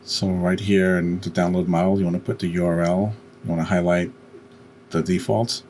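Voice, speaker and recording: A man with a deep, low voice talks through software steps as a tutorial host. His delivery is halting, with breaks mid-sentence. Clear background noise sits behind the voice. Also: flat delivery. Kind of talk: step-by-step screen-recorded software tutorial.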